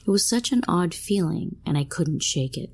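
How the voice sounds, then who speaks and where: A woman's voice: fairly low pitch, expressive, moderate pace, gravelly, darkish tone, slightly rough. A woman narrating a scripted first-person scary story, read out as a storyteller. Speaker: narrator of listener-submitted scary stories.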